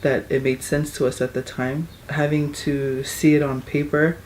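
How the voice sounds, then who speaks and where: A woman's voice: low voice, little pitch movement, moderate pace, darkish tone, slight background noise. A middle-aged woman, a mother speaking casually and frankly in an interview, with many "you know"s. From Hawaii. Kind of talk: testimonial interview.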